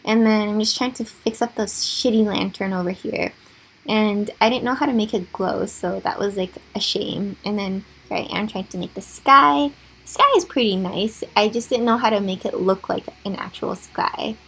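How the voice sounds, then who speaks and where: A young woman with a raspy voice, chatting casually and with self-deprecating humor as she talks over a drawing timelapse. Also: medium pitch, normal speed, fairly bright tone, poor audio. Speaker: gaming YouTuber.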